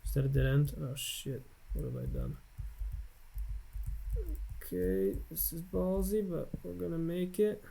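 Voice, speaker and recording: A man's voice: a little high, measured pace, vocal fry, long pauses, dark tone, low-quality recording. An American man in his late twenties, rambling and self-mocking as he thinks aloud over gameplay commentary at his home computer. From United States. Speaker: Let's Play gamer.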